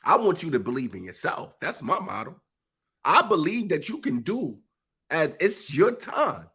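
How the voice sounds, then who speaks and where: A man's voice: fairly high pitch, big pitch swings, normal speed, bright, forceful tone, husky. A man on a live stream, stuffy and congested, preaching emphatically in informal speech. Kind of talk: impassioned live monologue.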